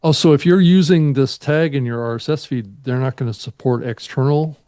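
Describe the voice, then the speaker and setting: Easygoing guy cohosting a podcast, mid pitch voice, real dry mic sound, casual chat. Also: moderate pace, warm tone, no room sound. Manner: easygoing, prompting and agreeing with his co-host. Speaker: podcast co-host. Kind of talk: casual talk show chat.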